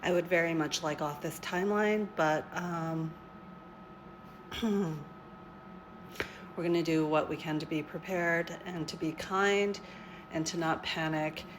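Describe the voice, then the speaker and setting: A woman vlogger comments on the news in a slow, measured, thoughtful way. Her voice is low and unhurried. Faint background noise sits under the recording. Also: steady tone.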